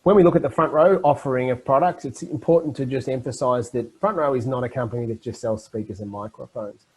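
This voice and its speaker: Husky-voiced man presenting webinar. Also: mid-range voice, darkish tone, low-quality recording. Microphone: video call.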